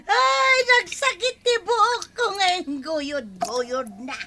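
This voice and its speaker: A woman's voice: very high voice, expressive, slow, noisy background, full character voice. A woman playing a gossipy village woman in an Ilocano comedy drama, whiny, exclamatory and protesting. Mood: wailing, aggrieved.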